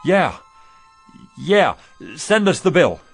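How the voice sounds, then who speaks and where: An actor playing a kindly, generous older married shopkeeper in a radio drama, with a warm, folksy, expansive manner. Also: male voice, fairly high pitch, sing-song, slow pace, husky, faint music behind, exaggerated voice. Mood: taken aback.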